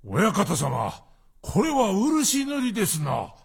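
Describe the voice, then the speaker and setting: An actor playing a gruff, bluff samurai general with a very raspy voice in a period radio drama. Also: male voice, high-pitched, wildly swooping pitch, takes it slowly, full character voice. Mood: puzzled.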